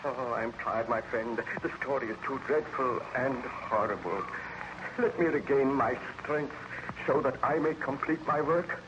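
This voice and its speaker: A man's voice: mid-range voice, unhurried, dull audio, natural voice. Haunted, exhausted baron, played by a man, old noisy radio. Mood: grim, weary. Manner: theatrical, solemn, dramatic phrasing. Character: exhausted, haunted baron obsessed with destroying his monster. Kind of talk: 1930s-40s radio serial acting.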